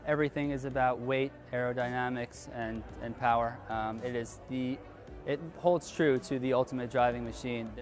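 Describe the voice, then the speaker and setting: A man in his thirties, an auction car specialist, explaining in an interview in a halting, measured way. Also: mid-range voice, fairly even intonation, soft background music. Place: large tent with cars on display.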